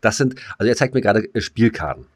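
Very deep-voiced man, dry close sound. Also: animated, fast, slightly rough, no room sound. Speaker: podcast co-host. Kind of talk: casual chat.

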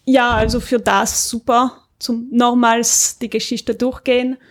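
A woman's voice: on the high side, flat delivery, unhurried. A woman, giving a casual review as a panelist on a podcast.

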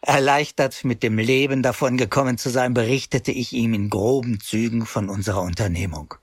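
A man voicing a grown man who narrates his guilt-ridden youth in a radio drama, measured and pious in his telling. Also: medium pitch, steady tone, husky, no room sound, clean studio sound, natural voice. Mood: remorseful. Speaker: first-person narrator.

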